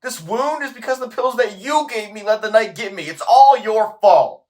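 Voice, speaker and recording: Man playing a high-voiced, tormented sleepless man. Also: darkish tone, natural voice. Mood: angry, blaming. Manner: halting, unsteady, volatile. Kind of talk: audio drama acting.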